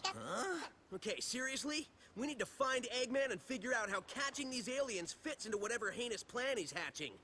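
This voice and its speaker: A youthful, wry hedgehog hero for a video game. The voice is bright and forceful with lively swings in pitch, over faint ambient noise. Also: male voice, moderate pace, heavily acted. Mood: wry, determined. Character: brash, confident young male hedgehog hero. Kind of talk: video game cutscene acting.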